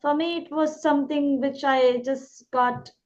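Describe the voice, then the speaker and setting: A woman's voice: high voice, fairly even intonation, measured pace. A woman from India with an Indian English accent, hosting and asking long, eager, winding questions. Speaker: host, English trainer. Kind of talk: interview questions. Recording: dull, lo-fi line.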